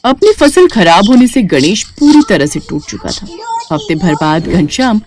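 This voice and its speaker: A woman's voice: medium pitch, very animated, normal speed, a little mellow. A woman narrating a scripted moral story for children in a storytelling style.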